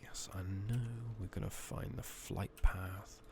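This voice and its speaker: A soft-spoken man giving hushed ASMR commentary on a game playthrough, murmuring and musing aloud in an unhurried way. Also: deep voice, fairly even intonation, creaky. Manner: hushed, murmured, sometimes slipping into whisper. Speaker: gaming channel host.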